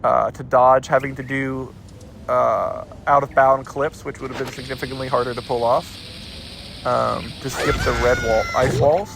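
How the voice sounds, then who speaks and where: A man's voice: mid-range voice, little pitch movement, moderate pace, vocal fry, background noise. A man giving casual let's-play commentary, a little stuffed up, rambling and often correcting himself mid-sentence. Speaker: gameplay commentator.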